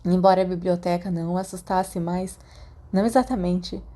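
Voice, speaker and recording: Mellow Brazilian woman narrating a story. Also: fairly low pitch, fairly even intonation, moderate pace. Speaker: audiobook narrator. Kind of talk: scripted fan fiction narration with acted dialogue.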